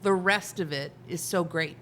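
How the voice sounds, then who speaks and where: A woman's voice: lowish voice, moderate pace, fairly bright tone, a little hiss or hum. A woman hosting a show, introducing guests and interviewing with encouraging little affirmations. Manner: encouraging, lots of small affirmations. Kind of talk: friendly interview and narration.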